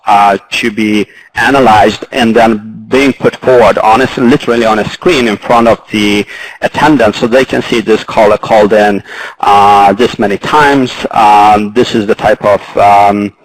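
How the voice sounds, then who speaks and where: A man with a Swedish accent speaking as a panelist, halting and full of fillers and restarts. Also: lowish voice, steady tone, rough recording. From Sweden. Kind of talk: panel discussion.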